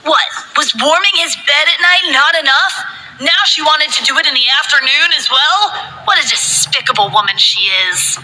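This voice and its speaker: A woman voicing a jealous, sneering young male executive in an audiobook story, in a shrill, taunting way. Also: lively intonation, normal speed, sharp tone, exaggerated voice, poor audio. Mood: snarling.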